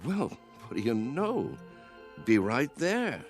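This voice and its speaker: An actor playing a gentle, good-natured old man with a soothing, folksy manner in a radio drama. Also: mid-range voice, big pitch swings, takes it slowly, soft background music. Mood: pleased. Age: older.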